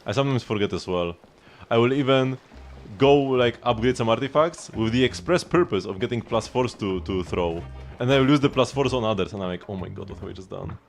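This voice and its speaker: A man's voice: medium pitch, lively intonation, brisk, gravelly. A man giving casual live gameplay commentary as a streamer, rambling and thinking aloud. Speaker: game livestreamer. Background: game music.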